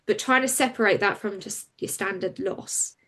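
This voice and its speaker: A woman, a campaigner and interview guest, speaking frankly and openly in a relaxed conversation. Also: medium pitch. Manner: open and frank, quick and animated when recounting.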